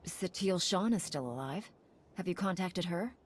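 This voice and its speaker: An actress playing a calm, diplomatic female Jedi commander in a video game, polite and composed. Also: lowish voice, normal speed, husky. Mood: polite, thoughtful. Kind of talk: video game cutscene acting.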